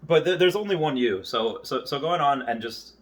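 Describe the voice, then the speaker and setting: A man in his early thirties talking casually and off the cuff to viewers, rambling and often halting to circle back on his thoughts. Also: medium pitch, lively intonation, slight background noise. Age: early 30s. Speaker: YouTuber. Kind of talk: casual unscripted monologue to viewers.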